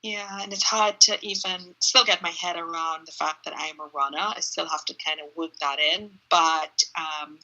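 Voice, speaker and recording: Middle-aged woman, guest on a phone call; sharp, bright voice, thoughtful and searching for words. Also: deep voice, fairly even intonation, moderate pace, few pauses. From Trinidad. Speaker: interview guest, runner. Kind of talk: casual reflective interview answers. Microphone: phone held in hand on a video call.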